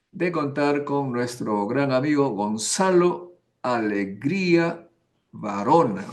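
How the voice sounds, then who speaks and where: A man from Peru hosting a science interview program, chatty and friendly with his guest. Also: mid-range voice. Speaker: host.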